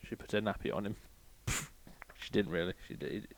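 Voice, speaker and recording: A man playing a crabby, boastful railway boss who reads a storybook aloud with irreverent, deadpan asides. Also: on the low side, normal speed, vocal fry. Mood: wry storytelling. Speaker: comic storybook reader. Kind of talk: irreverent ad-libbed storybook reading.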